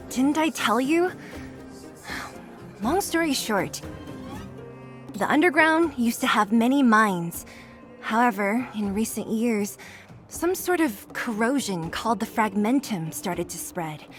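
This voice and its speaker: Brash, high-voiced street fighter. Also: female voice. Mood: matter-of-fact. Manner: taunting, blunt. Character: brash young female underground fighter, scornful and blunt. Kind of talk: video game voice acting.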